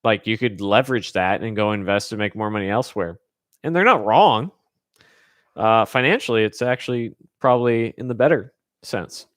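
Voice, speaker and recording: A man's voice: on the low side, moderate pace, fairly bright tone, dry recording, studio quality. An American man from California hosting a casual Q&A, talking informally and rambling as he thinks aloud. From California, United States. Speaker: host answering viewer questions. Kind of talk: casual Q&A chat.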